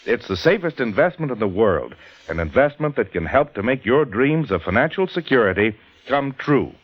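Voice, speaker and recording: Male old-time radio announcer, persuasive scripted pitch, medium voice, animated intonation. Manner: persuasive, measured. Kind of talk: 1940s radio public-service pitch, scripted.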